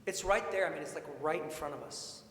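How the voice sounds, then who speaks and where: A man preaching a sermon in an informal, expressive way, with storytelling and questions repeated for emphasis. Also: on the high side, brisk. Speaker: preacher, priest. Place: large indoor church sanctuary. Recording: echoey church hall, long reverb, faint noise.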